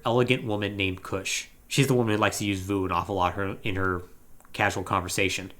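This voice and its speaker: A man's voice: lowish voice, fairly bright tone. A man hosting a podcast, recapping a video game storyline in a casual, conversational way with wry asides. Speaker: podcast host.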